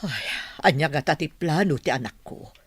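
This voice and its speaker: A woman playing a concerned older mother with a husky voice in an Ilocano radio drama. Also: low voice, lively intonation, moderate pace, no echo. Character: concerned older mother, questioning about her son.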